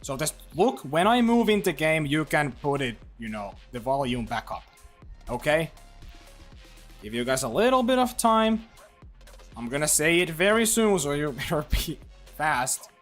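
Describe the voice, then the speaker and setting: A man's voice: fairly high pitch, big pitch swings, moderate pace, a bit bright, soft background music. A man live-streaming a game, rambling casually with lots of fillers and restarts, his throat a little dry. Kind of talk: casual livestream chat while gaming.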